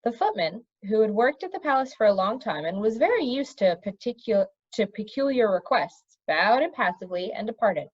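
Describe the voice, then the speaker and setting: A woman reading a children's fantasy novel aloud in an expressive storytelling style. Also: mid-range voice, moderate pace, few pauses, dull audio. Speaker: storybook reader. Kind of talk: expressive read-aloud of a children's fantasy novel, pausing to question young listeners.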